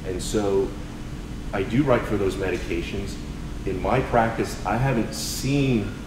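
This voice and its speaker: A man who is a doctor speaking on a panel in a measured, hesitant way. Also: on the low side. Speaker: doctor who prescribes medication. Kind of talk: panel discussion. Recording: distant mic in echoey room with noise.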